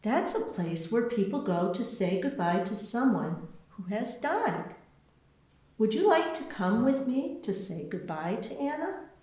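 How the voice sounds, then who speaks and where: A woman reading the part of a gentle, consoling mother who patiently explains things to her young child in a storybook. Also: on the high side, very animated, measured pace, distant mic. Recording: very echoey, roomy sound.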